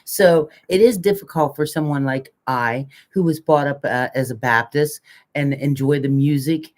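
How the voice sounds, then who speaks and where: A woman's voice: deep voice, normal speed, darkish tone. Older lady on a casual livestream, folksy and emphatic, down-home wording, co-host chatting away. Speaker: co-host of a live community chat show.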